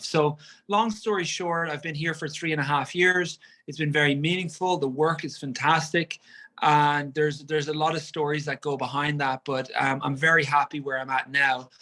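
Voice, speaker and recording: A man's voice: on the high side, bright, forceful tone. A man, a tennis coach telling his story in a video-call interview, earnest and often restarting his sentences. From Ireland. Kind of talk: conversational interview answers.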